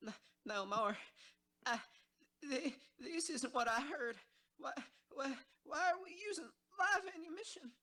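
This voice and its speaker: A woman playing a wounded, shaken young female tank soldier in an audio drama, halting and stammering. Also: high voice, lively intonation, bright, forceful tone, full character voice. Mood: panicked. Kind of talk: fan audio drama acting.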